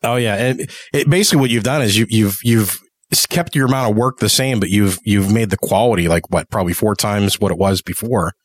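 A man's voice: fairly low pitch, a little fast, dry recording. A man co-hosting a podcast interview, chatting casually. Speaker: podcast co-host and producer.

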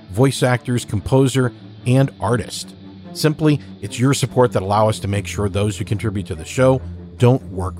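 Male podcast host, mid-pitched, faint music. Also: a little mellow. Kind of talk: scripted podcast introduction. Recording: faint music bed behind.

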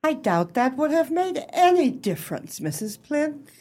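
A prim club hostess played by an actress in a radio play, her high voice swinging widely. She is formal and genteel, anxiously fussing to keep things proper. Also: sing-song, a little slow, warm tone. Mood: measured.

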